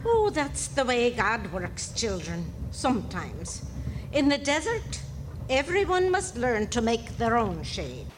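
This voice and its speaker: A woman's voice: fairly high pitch, very animated, slow, noisy background. A woman in a radio play acting a stern but kindly nun who admonishes orphan children in old-fashioned motherly phrases. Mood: patient, kindly.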